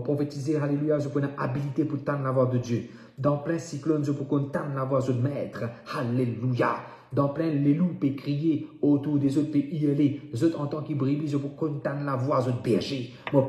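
Male prayer leader, soft-edged mellow tone, repetitive incantatory delivery, roomy reverberant sound. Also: medium pitch. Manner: incantatory, repeating phrases with emphasis. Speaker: preacher leading prayer. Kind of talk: fervent prayer and declaration, repetitive. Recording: echoey room sound.

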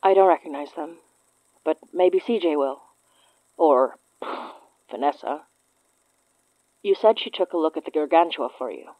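Protective exasperated female friend, measured pace, dry bite, horror drama, thin phone-like sound. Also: low voice, no room sound. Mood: exasperated, worried. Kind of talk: horror audio drama acting. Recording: muffled, narrow phone-like sound.

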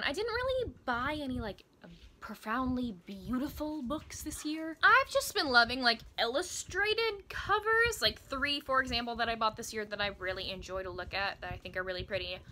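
An American woman in her twenties chatting casually to camera as a book vlogger, gushing and emphatic in an expressive way. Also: a little high, slight background noise. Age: 20s. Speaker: book video blogger. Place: small indoor room by bookshelves.

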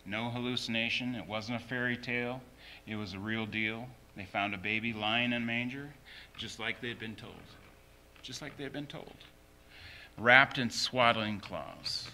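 A man's voice: medium pitch, fairly even intonation, moderate pace, pushed and bright, slight background noise, rough recording. A man preaching a sermon as a pastor, emphatically repeating short phrases.

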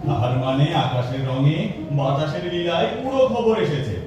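A man's voice: very animated, moderate pace, over music. A man acting a learned, worried, philosophical professor in a theatrical Bengali stage audio drama. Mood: curious. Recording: echoey hall, distant dull mic, rumble.

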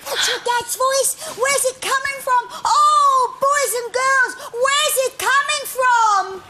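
An actress playing an anxious, scolding cricket in a pantomime, exclaiming and pleading. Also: female voice, extremely high pitch, slow pace. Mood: urgent. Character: anxious, scolding cricket, conscience of a puppet boy. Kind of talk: broad pantomime acting. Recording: noisy studio with audience.

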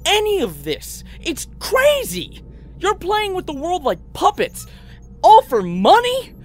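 A man's voice: very high voice, huge pitch swings, takes it slowly, faint music behind, full character voice. An actor playing an indignant, panicky man with a melodramatic, exclamatory delivery in a comedy audio drama. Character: indignant, panicky man, a righteous customer prone to screaming.

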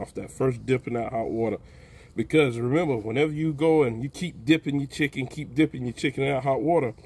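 An older man giving a relaxed, folksy how-to narration outdoors in a backyard while he works with his hands. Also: mid-range voice, breathy edge. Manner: folksy, relaxed, talks while plucking, calls out "baby". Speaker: backyard homesteader. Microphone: phone camera held close, hands-on filming.

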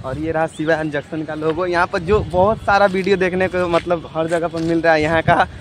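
A young man chats straight to his viewers as he films himself on a phone held at arm's length, often pausing on filler sounds. His voice sits a little high. The clear din of a railway station and a moving train sits behind him. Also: fairly even intonation, slight background noise. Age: young adult. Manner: chatty, addresses viewers directly, frequent hesitation fillers. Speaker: travel vlogger. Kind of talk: casual travel vlog. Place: railway platform and train carriage. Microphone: phone held at arm's length for selfie.

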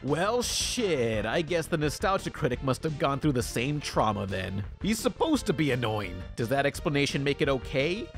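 A man narrating a scripted review as host, in a sarcastic manner. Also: mid-range voice.

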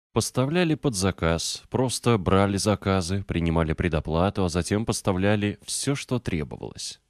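A man narrating an audiobook in a measured, clearly articulated scripted reading. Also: lowish voice, a little mellow, no room sound, studio quality.

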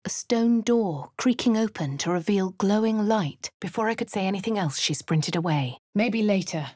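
An actress voicing a posh English woman adventurer in a video game, speaking crisply with a dry, self-assured manner. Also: medium pitch, animated, normal speed, darkish tone, no room sound, lightly acted. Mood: wry. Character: posh young woman adventurer, cool and wry. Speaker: player character. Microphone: close studio mic.

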